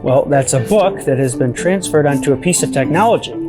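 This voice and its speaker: A woman's voice: deep voice, brisk. A woman presenting an educational children's show in an explanatory, encouraging manner. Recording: music behind the voice.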